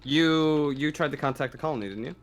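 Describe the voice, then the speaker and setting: A man's voice: a little high, a little fast. A man acting as game master of a tabletop roleplaying game, narrating casually and explaining rules in informal, sweary talk.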